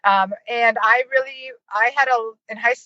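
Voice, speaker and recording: A bright, fairly high-voiced American woman talks in a chatty, giggly manner, full of fillers. She sounds like an interview guest on a dry, dull, lo-fi remote call. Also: fairly even intonation, moderate pace, no room sound. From United States. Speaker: marketing consultant and professor. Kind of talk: casual interview storytelling.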